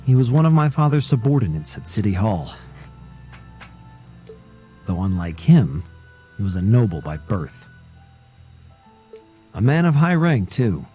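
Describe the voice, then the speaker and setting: An actor playing an earnest, guarded young man in a video game, solemnly telling of a painful past in measured, formal words. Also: fairly low pitch, big pitch swings, mellow, soft background music. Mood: bitter, restrained.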